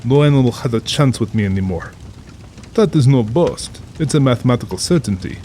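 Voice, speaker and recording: A man's voice: mid-range voice, animated, brisk, mellow, a little hiss or hum, natural voice. Man playing a suave, pompous aristocratic general, rain behind. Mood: suave, boastful. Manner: pompous, suave, amused, formal diction. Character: urbane, cold-blooded older aristocratic general, a big-game hunter. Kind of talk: dramatized audiobook acting.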